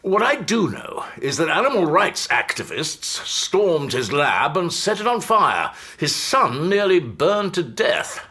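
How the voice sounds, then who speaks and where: A needling police chief in an audio drama, urbane and teasing. His voice is bright and forceful, swooping widely in pitch. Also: sing-song, unhurried, hardly any pauses, heavily acted. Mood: droll. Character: genial, needling male police department chief. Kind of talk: full-cast audio drama acting.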